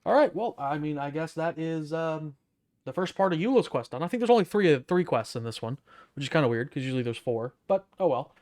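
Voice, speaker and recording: A woman's voice: deep voice, animated, fairly quick, a little mellow. A young woman giving casual, sweary let's-play commentary, sarcastic and incredulous. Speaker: game streamer. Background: game music.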